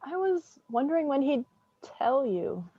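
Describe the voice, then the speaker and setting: A reserved young woman, played by an actress in a drama reading. She is careful and gently teasing, with lively, highish intonation. The audio is dry and slightly muffled. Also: a little slow, no room sound, exaggerated voice. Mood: guarded, reluctant. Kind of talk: dramatic staged reading dialogue.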